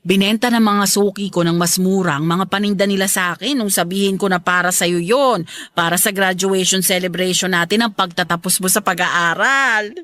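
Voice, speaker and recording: A woman's voice: medium pitch, normal speed, a bit bright, no echo, lightly acted. A woman playing a protective, frugal, aging mother in a radio drama, chatty and affectionately stubborn. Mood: caring. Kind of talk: Filipino radio drama acting.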